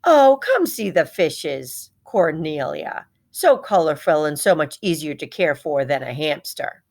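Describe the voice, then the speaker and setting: A woman's voice: sits low, very animated, a little slow, creaky, darkish tone, heavily acted. A fussy storybook mother, put on by a woman reader, coaxing her child. She speaks in a persuasive, managing way, as in a tale read aloud for children. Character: fussy mother steering her child toward easier pets.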